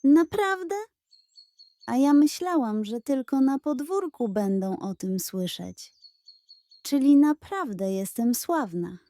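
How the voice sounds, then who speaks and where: A woman voicing a proud, gullible, excitable hen who clucks eagerly in a children's fairy tale. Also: high-pitched, takes it slowly, no room sound, full character voice. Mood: proud, delighted.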